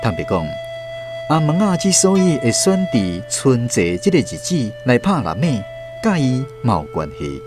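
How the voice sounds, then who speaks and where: A male narrator tells a radio drama in a Taiwanese accent. His delivery moves widely in pitch around a slightly low register, with light music behind him. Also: big pitch swings, moderate pace.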